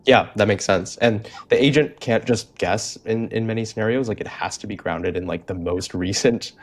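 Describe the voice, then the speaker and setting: Male podcast interviewer, lowish pitch, informal and conversational, thinks aloud while framing questions. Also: normal speed.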